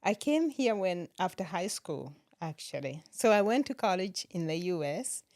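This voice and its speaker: A middle-aged woman from Kenya, a nurse practitioner, talking as a podcast guest in a relaxed way and laughing often. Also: fairly low pitch, moderate pace, dry recording, clean studio sound. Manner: laughs often while telling stories. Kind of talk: relaxed interview conversation.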